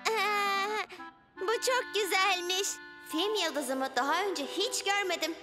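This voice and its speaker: A woman voicing a cheerful, kind little boy bus in a dubbed children's cartoon, with a bright childlike voice and an eager, friendly manner. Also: extremely high pitch, slow. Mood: curious. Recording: studio voice under loud music.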